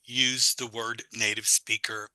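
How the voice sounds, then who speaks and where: Bright-voiced man hosting a video panel. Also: lowish voice, little pitch movement, takes it slowly, no room sound, low-quality recording. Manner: rambling with many uh fillers. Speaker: host of an online teacher-development event. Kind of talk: casual online panel chat. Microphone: video call.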